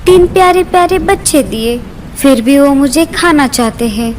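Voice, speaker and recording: A clever little cartoon mother bird acted by a woman. Her voice is very high, plaintive and pleading, with a crafty, daring streak. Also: lively intonation, normal speed, darkish tone, slight background noise. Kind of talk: Hindi cartoon dialogue.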